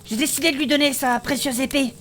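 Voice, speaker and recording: A woman playing a spirited female blacksmith adventurer, recounting her past in animated, colloquial in a fantasy audio drama. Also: high-pitched, quick pace. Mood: lively storytelling. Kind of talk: French fantasy audio fiction acting.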